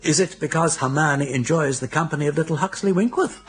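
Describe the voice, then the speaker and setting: An actor playing a witty, teasing older English aristocrat in a British radio comedy, chatty and urbane with a wry theatrical manner. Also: male voice, fairly high pitch, fairly quick, breathy edge. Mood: mock warning.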